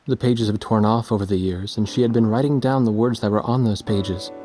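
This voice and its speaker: A male actor playing an earnest, reflective war reporter in a game cutscene, measured and sober in delivery. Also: fairly low pitch, steady tone, warm tone, faint music behind, close to an everyday voice. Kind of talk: scripted game cutscene narration.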